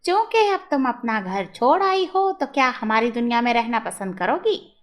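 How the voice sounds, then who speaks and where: Lady doing a little storybook gnome guy, really high and swoopy, friendly but bossy. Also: animated, normal speed, close to an everyday voice. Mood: friendly, inviting. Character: friendly, commanding little male gnome of a magical world. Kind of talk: children's storybook character voice.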